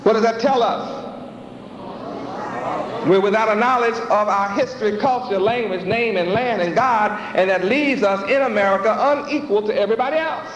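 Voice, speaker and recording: A man's voice: sits high, normal speed, a crowd in the background. A man preaching a sermon with rhetorical questions and a repetitive cadence. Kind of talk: sermon with acted dialogues. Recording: echoey hall, dull lo-fi sound.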